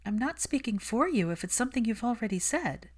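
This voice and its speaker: Audiobook role: guarded young woman acted by a woman reader, raised pitch, wordy, reasoning, uneasy and defensive. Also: very animated, a little fast. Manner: careful, argumentative, wordy. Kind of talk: audiobook character dialogue.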